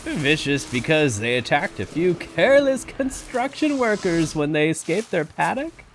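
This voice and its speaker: A man's voice: on the high side, sing-song, moderate pace, slight background noise. A man playing a proud, stubbornly optimistic elderly park owner in an audio drama, with a theatrical delivery. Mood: measured. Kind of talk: fan audio drama acting.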